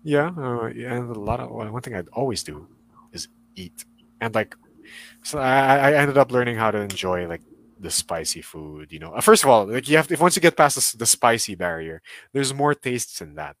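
A man's voice: medium pitch, expressive, brisk, a bit bright. A young man with a Southern Californian accent, chatting casually as a guest, rambling with many fillers and restarts. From Philippines. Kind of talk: casual chat.

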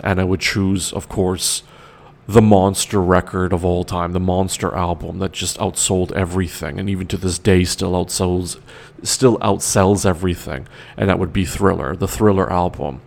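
Host, male, 40s, very low and gravelly, rambling, enthusiastic solo talk. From Canada. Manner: rambling, enthusiastic, many fillers and restarts.

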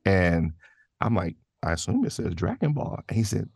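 Deep voiced guy guesting on a podcast, slangy and rambly, really dry close sound. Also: huge pitch swings, moderate pace, vocal fry, darkish tone, slightly rough, no echo. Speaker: podcast guest, voice actor and artist. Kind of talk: casual interview chat.

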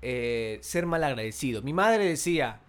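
A man with a Peruvian accent, co-hosting a casual chat and talking in an animated, irritated way with many restarts. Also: mid-range voice, normal speed. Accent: Peruvian Spanish. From Peru.

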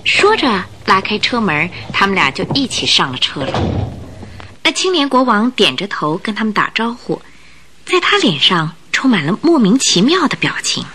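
A woman narrating a Mandarin radio drama as an expressive, scripted storyteller. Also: medium pitch, moderate pace, slight background noise. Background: music.

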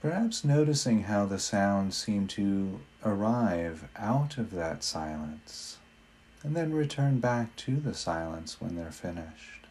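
A man leading a guided meditation in a gentle, unhurried manner with long pauses between phrases. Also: on the low side, expressive.

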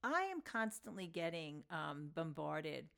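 A woman's voice: deep voice, big pitch swings, unhurried, vocal fry, fairly bright tone. A woman with a Long Island New York accent hosting an informal solo talk as a teacher, emphatic and repeating phrases for stress. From Long Island, New York, United States. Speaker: podcast host and yoga philosophy teacher. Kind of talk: informal solo spoken lecture.

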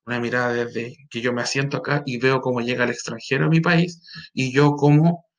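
Gravelly Chilean male teacher. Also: mid-range voice, fairly even intonation, a little fast, muffled recording. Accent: Chilean Spanish. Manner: rambling, with many fillers and checks like "¿ya?". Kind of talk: recorded class lecture.

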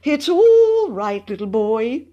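A woman voicing a pompous, nervous gentleman poet in an audiobook, stammering and spluttering with indignation. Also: on the high side, very animated, slow, dull audio, pitched above the performer's own voice, heavily acted. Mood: nervously soothing. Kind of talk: audiobook character voice.

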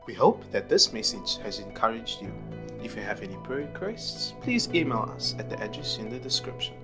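A man's voice: on the low side, big pitch swings, moderate pace. A man reading a scripted podcast outro as an announcer. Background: guitar music.

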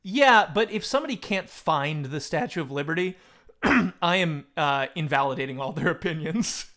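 A man's voice: on the high side, animated, moderate pace, bright, forceful tone. A man hosting a podcast in casual banter, with a wry, teasing way of talking. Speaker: podcast host.

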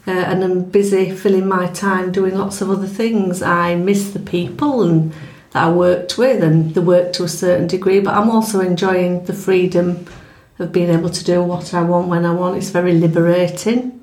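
A middle-aged woman with a Yorkshire accent, a retired nurse and manager chatting with friends, measured and reflective. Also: lowish voice, fairly even intonation, a little mellow. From England. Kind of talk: casual group chat. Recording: echoey room.

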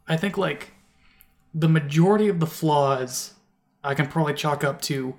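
A man with a slightly higher voice co-hosts a casual album review chat. He rambles and restarts his sentences often, leaning on fillers as he works through his points. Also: moderate pace. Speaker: podcast co-host.

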